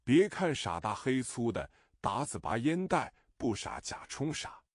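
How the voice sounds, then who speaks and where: A man's voice: medium pitch, big pitch swings, measured pace, no echo, clean studio sound. A man reading a novel aloud as narrator, expressively voicing the characters' lines. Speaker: audiobook narrator.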